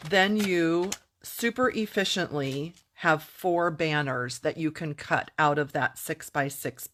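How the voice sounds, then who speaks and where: A woman's voice: low voice, a bit bright, a little hiss or hum. A woman chatting upbeat through a live-streamed craft tutorial, thanking viewers and answering comments as she works. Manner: upbeat and enthusiastic. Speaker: craft demonstrator.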